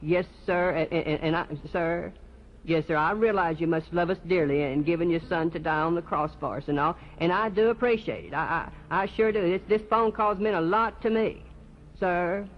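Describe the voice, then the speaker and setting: A man's voice: on the high side, moderate pace, close to an everyday voice. A man playing a folksy, sheepish churchgoer in a one-sided comic phone monologue, stammering, repeating himself and eagerly agreeing. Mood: earnest, contrite. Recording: dull lo-fi live recording, loud noise.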